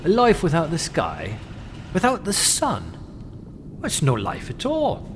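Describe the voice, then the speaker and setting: A man's voice: on the high side, wildly swooping pitch, slow pace, slight background noise, exaggerated voice. An actor playing a brash, restless whaler in an audio drama, blunt, mocking and challenging in his delivery. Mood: indignant.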